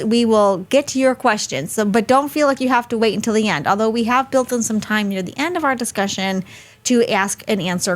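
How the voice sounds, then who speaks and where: A woman hosting a live webinar over a video call, chatty and friendly, laughing easily. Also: a little high. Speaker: host.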